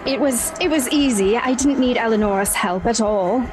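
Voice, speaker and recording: A woman's voice: a little high, moderate pace, voice pushed up, lightly acted. A woman playing a proud young woman, clever and a little smug, who speaks in prim, formal words in a tabletop roleplay. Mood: proud, excited.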